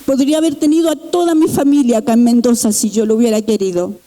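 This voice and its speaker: A middle-aged woman from Chile, giving an earnest, halting personal testimony in church with pauses and restarts. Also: on the high side, fairly even intonation, warm tone. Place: church sanctuary.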